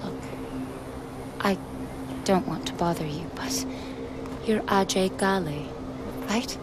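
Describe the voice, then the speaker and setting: A woman voicing an earnest, hushed rebel fighter. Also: mid-range voice, slow pace, close to an everyday voice. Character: earnest female rebel fighter, polite and persuasive. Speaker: rebel fighter in a video game. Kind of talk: video game cutscene acting. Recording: audible background noise.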